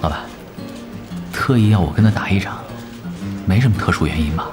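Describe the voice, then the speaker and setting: A man's voice: low voice, a little fast, over music, close to an everyday voice. A man acting a laid-back, cheeky young gamer. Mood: casual. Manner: casual, teasing, nonchalant. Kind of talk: Chinese audio drama acting.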